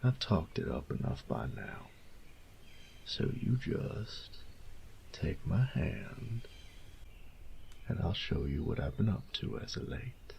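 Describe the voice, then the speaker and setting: Man playing a low, creaky boyfriend. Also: sing-song, slow pace, pauses often, a little mellow, slight background noise, full character voice. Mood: playful, excited. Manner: drawling, teasing, tender, coaxing, with breathy near-whispers. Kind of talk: intimate scripted audio roleplay addressed to the listener.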